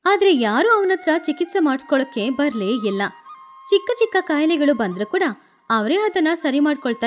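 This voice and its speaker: A woman narrating a scripted moral story with expressive, animated delivery. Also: high voice.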